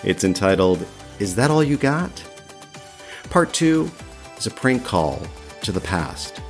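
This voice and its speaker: A man hosting a storytelling podcast, speaking candidly and wryly with deliberate, dramatic pauses. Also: lowish voice, sing-song, faint music behind. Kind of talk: personal storytelling.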